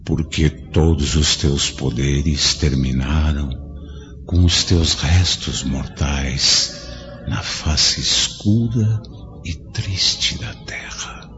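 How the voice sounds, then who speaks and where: Super deep slow-talking spirit guide, stern, heavy music under, muffled. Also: male voice, a bit bright, heavily acted. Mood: counseling. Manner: slow, authoritative counsel. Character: wise male spirit guide, calm and stern. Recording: dull lo-fi audio with strong music.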